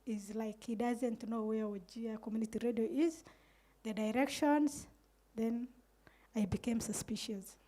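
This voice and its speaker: A woman's voice: fairly high pitch, fairly even intonation, unhurried, dark tone. A middle-aged woman with a Kenyan Somali accent speaks earnestly on a panel, recounting events with quoted dialogue into a handheld microphone. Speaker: community radio manager on a panel. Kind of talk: panel talk, storytelling. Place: indoor room with curtains.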